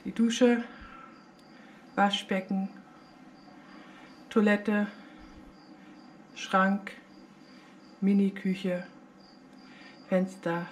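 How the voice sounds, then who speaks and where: A woman gives an unhurried tour for her travel vlog, calmly listing things to her viewers. She speaks at a gentle, slow pace. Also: mid-range voice, slight background noise. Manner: calm, shows viewers around, listing things. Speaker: travel vlogger. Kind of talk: casual travel vlog narration.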